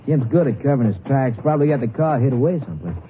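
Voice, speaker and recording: An actor playing an eager, wheedling small-time crook with American folksy criminal slang in a 1950s radio crime drama. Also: male voice, mid-range voice, lively intonation, rapid-fire, faint music behind, lightly acted. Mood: assured. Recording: tinny, noisy 1950s radio recording.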